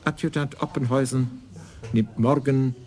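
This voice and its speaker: A man's voice: mid-range voice, steady tone, measured pace, a little mellow, slight background noise, natural voice. An actor in a radio crime play, playing a worried provincial police official who confides his troubles. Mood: troubled.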